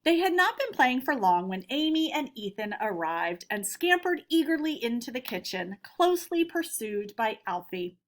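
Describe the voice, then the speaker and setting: A woman with an American accent and a fairly high voice speaks as an elementary teacher. She guides children through a read-aloud lesson with simple, clearly phrased questions and explanations. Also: sing-song, moderate pace, few pauses.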